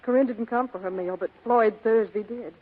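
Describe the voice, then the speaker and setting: A woman's voice: fairly high pitch, normal speed, close to an everyday voice. An actress playing an anxious, pleading young female client in a 1940s radio drama style, hesitant and earnest. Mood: distressed, pleading. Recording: tinny band-limited vintage radio broadcast.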